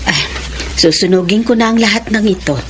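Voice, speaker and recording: A woman's voice: mid-range voice, a little fast, close to an everyday voice. An actress with a Filipino accent playing an older, pious mother who counsels firmly and solemnly in a radio drama. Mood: grave. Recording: noisy background ambience.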